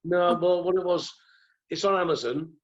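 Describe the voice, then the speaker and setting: A croaky-voiced man, telling rambling stories as a podcast guest. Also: high voice, steady tone, moderate pace, muffled recording. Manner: rambling, restarts often. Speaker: guest, former goalkeeper. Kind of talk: relaxed anecdotal chat.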